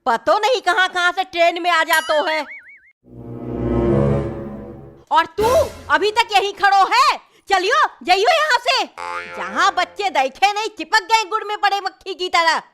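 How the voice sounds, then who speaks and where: A woman's voice: very high-pitched, expressive, normal speed, pushed and bright, exaggerated voice. A woman voicing a bossy, quarrelsome village mother in a cartoon, speaking a rural Hindi dialect in a scolding, brash manner. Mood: indignant. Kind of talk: exaggerated cartoon dialogue.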